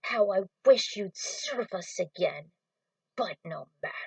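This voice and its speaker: Whispering storybook villain, slow and menacing, a woman doing the voice; dry and a bit distant. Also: medium pitch, vocal fry, no room sound. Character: sinister male villain, slow cold menace.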